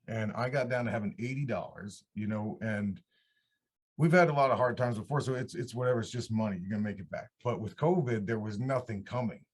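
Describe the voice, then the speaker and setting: A man in his thirties from upstate New York, a country singer telling his story in a casual, rambling interview. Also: lowish voice. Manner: rambling, relaxed, restarts mid-sentence. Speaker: country singer-songwriter guest.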